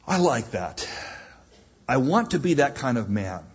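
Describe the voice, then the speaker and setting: Male pastor, emphatic and repetitive in the pulpit, husky voice, muffled church recording. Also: mid-range voice, big pitch swings, unhurried. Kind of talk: sermon.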